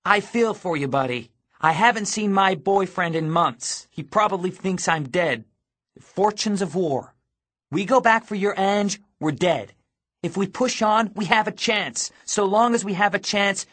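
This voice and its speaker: A ruthless young woman on the run, as a male audiobook narrator puts her on. The pitch sits well up and the delivery is clipped and commanding, with a cunning, sardonic edge. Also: lively intonation, a little slow, a bit bright, full character voice. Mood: sardonic, resigned.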